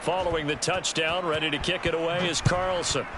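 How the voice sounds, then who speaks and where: A man's voice: fairly high pitch, little pitch movement, fairly quick, noisy background, close to an everyday voice. A man with an American accent voicing a polished football play-by-play announcer in a video game, describing each play in broadcast style. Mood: steady, focused. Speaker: play-by-play sports commentator. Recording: loud music behind.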